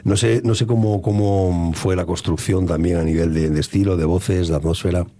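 A male radio host asking long, measured, winding questions in an interview. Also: low-pitched, steady tone, warm tone.

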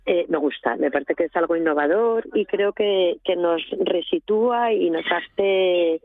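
A woman's voice: lowish voice, unhurried. A woman from Asturias, speaking as a guest in a radio interview by phone, hesitant, with many restarts. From Asturias, Spain. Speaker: guest, NGO regional delegate. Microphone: telephone. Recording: tinny phone line.

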